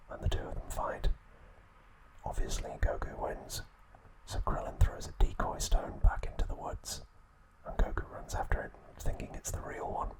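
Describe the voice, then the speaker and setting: A man narrating trivia as an ASMR host, telling it softly and without hurry. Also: poor audio.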